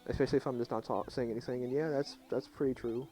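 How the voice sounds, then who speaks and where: A young man with a low voice, talking quietly and casually to his chat at night while he plays a game. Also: steady tone, quick pace, low-quality recording. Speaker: streamer. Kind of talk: casual live game commentary. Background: faint game music.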